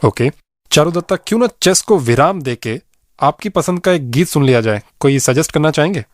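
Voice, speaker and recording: A man's voice: on the high side, animated, brisk, no echo, clean studio sound. A man hosting a radio interview in a polite, appreciative manner. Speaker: radio show host and interviewer.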